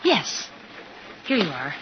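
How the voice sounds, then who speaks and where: An actress in a 1950s radio drama playing a timid, polite young lady, flustered and halting, nervously repeating her words. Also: sits low, big pitch swings, full character voice. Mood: shy, grateful. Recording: muffled, hissy 1950s radio recording.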